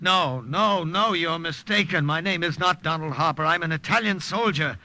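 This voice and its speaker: An actor playing a captured American secret agent posing as an Italian soldier, halting and earnest in old radio style. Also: male voice, a little high, loud music behind the voice, close to an everyday voice. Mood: nervous denial. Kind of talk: 1940s radio acting. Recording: noisy, crackly old radio recording.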